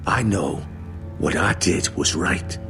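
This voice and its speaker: A man playing an aggrieved, loyal castle steward in a dramatic fantasy game, speaking earnestly and emphatically with a gravelly voice. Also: deep voice, slow, fairly bright tone, heavily acted. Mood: resolute. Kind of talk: dramatic video game acting. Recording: music under the voice.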